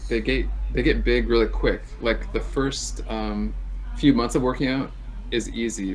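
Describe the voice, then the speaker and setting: A man with a low, gravelly voice tutors on a video call. He sounds relaxed and encouraging and keeps asking his student questions. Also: rough recording. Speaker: native English-speaking tutor. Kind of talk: casual conversation practice call.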